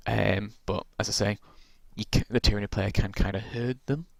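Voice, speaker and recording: A man with a low, gravelly voice talks his way through a tabletop game's rules, rambling and restarting as he goes. His voice has turned croaky after a long stretch of talking. The recording is dry and close. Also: steady tone, normal speed, dry recording. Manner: rambling, many ums and restarts. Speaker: tabletop game reviewer. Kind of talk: casual rules walkthrough.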